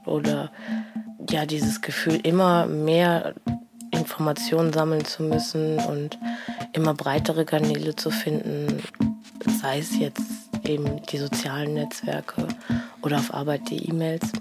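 A woman's voice: low-pitched, flat delivery, a little slow, gravelly, loud music behind the voice. A woman thinking aloud about her own experience in an unscripted, thoughtful audio diary style. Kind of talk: spoken personal reflection, audio diary.

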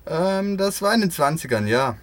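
A man's voice: a little high, expressive, moderate pace, over a noisy crowd. A man reading out translated interview answers as a radio voice-over.

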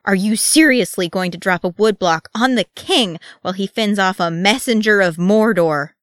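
A woman's voice: low-pitched, flat delivery, normal speed, a bit bright, no room sound, clean studio sound. A woman voicing an exasperated, anxious male dwarf in a fantasy story, given to fretful, sarcastic outbursts. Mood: alarmed.

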